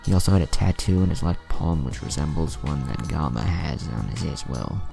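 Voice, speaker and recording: Very deep, gravelly male narrator. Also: lively intonation, fairly quick, mellow. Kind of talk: scripted fan-fiction story narration. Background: music under the voice.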